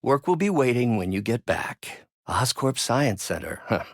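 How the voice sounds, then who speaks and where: A man's voice: mid-range voice, big pitch swings, measured pace, creaky, no echo, studio quality, heavily acted. A male actor voicing a brilliant, bitter middle-aged scientist turned vengeful villain in a video game, with articulate, theatrical delivery. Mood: thoughtful. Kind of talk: dramatic video game acting, isolated voice lines.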